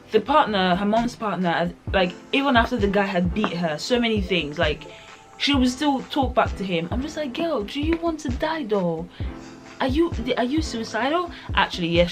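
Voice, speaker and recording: A young woman talks through a book in a loose, chatty vlog style. She rambles and fills her sentences with "like." Her voice sits on the low side, with faint music in the background. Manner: chatty, animated, rambling, full of "like". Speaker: book reviewer. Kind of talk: casual book review vlog.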